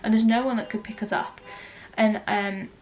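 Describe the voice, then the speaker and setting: A woman's voice: medium pitch, little pitch movement, moderate pace, lo-fi sound, a little hiss or hum. Congested British woman chatting to camera. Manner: chatty storytelling with frequent ums and asides. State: congested with a cold. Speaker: vlogger. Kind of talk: casual chat to camera.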